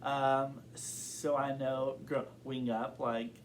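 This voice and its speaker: A man's voice: medium pitch, flat delivery, drawn-out words, a little hiss or hum. A young man who stutters, answering interview questions openly and thoughtfully, with many fillers and repeated words. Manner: thoughtful, open. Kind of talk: casual interview.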